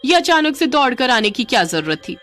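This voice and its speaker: An exasperated village wife , played by a woman, nags and exclaims in a comic story. Her pitch jumps about expressively, and soft music plays behind her. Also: very animated, a little fast. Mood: alarmed, curious. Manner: scolding, exclamatory, sarcastic. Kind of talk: Hindi comic story acting.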